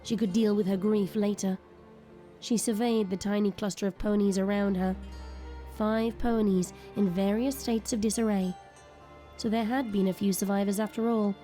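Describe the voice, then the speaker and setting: A woman narrates an audio drama in a warm, dark-toned voice of medium pitch. The delivery is scripted, dramatic storytelling, with faint music behind it. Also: steady tone, normal speed.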